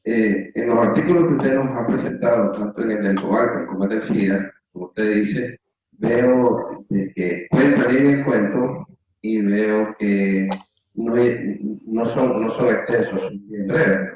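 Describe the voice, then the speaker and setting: A man's voice: fairly low pitch, measured pace, darkish tone, slight background noise. A man asking a lecturer long questions, hesitant and rambling with many fillers. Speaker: audience member asking questions. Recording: distant echoey lo-fi call audio.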